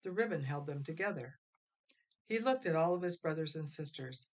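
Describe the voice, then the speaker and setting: A woman reading a children's story aloud as expressive narration, dramatic, with drawn-out emphasis on key phrases. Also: sits low. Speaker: storybook reader.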